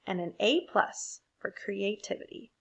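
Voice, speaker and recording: A woman's voice: medium pitch, lively intonation, a little slow, gravelly, a bit bright, slightly rough, highs cut off. A woman reading a picture book aloud as a storyteller.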